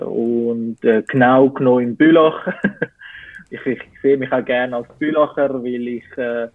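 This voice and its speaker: Slow-talking Zurich man on a tinny call. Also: medium pitch, no room sound. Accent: Zurich Swiss German. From Switzerland. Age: 20s. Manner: slow, searching for words. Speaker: interview guest. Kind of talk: casual interview answers. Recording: tinny phone-like call audio.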